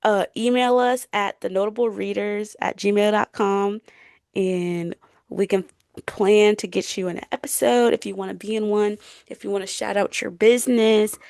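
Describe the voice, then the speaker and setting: Young woman podcast host, creaky voice. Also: mid-range voice, steady tone, moderate pace, darkish tone, no room sound, clean studio sound. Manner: chatty, informal, slangy. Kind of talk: casual podcast chat.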